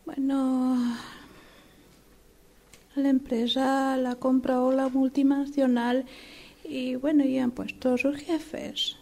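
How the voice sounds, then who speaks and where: Una actriz de radioteatro que interpreta a una exgerente de mediana edad, humillada y desesperada por conseguir trabajo, con habla vacilante y deferente. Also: female voice, sits high, little pitch movement, darkish tone, heavily acted. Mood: awkward, defensive. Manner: hesitant, halting, deferential. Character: desperate middle-aged female job seeker, humbled former manager. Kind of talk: radio drama acting.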